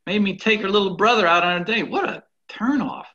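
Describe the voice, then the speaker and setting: A man's voice: high voice, brisk, pushed and bright. An actor playing a fussy buddy who nitpicks and stammers over his corrections, heard through muffled call audio.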